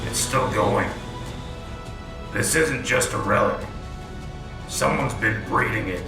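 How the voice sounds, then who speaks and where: A man performs a gruff, wary soldier from a sci-fi radio drama. His lowish, gravelly voice comes in terse, clipped phrases, set back from the mic. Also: slow. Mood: tense awe. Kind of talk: sci-fi audio drama acting. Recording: distant, echoing, music underneath.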